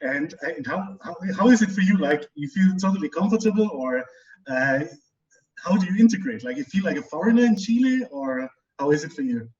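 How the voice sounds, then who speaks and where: A man from South America interviewing casually, chatty and quick to laugh. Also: fairly high pitch, expressive, a little mellow, recorded from a distance, highs cut off.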